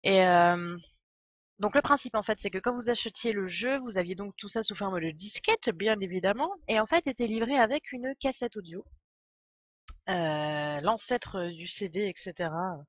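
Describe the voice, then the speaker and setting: A woman's voice: mid-range voice, lively intonation, moderate pace, no echo, lo-fi sound. A woman in her twenties with a stuffy cold, chatting casually and a little hesitantly as she hosts a live game stream. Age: 20s. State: congested with a cold.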